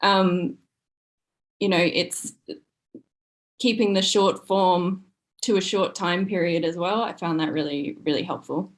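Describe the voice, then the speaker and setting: A woman's voice: fairly low pitch, steady tone, measured pace, pauses often. A woman chatting casually as a podcast guest, self-deprecating and acting out her own thoughts. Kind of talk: casual chat.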